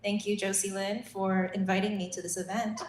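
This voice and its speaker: A woman's voice: medium pitch, level tone, normal speed. Friendly young-sounding woman, echoey room. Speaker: new real estate agent. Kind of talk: self-introduction at a meeting. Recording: echoey room, faint noise.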